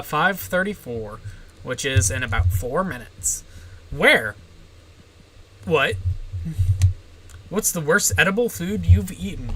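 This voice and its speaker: A young woman with a general American accent, streaming live and reading viewer questions aloud. She gives short, deadpan answers with plenty of "uh" and "like". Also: low voice, low-quality recording. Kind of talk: casual livestream Q&A, answering chat.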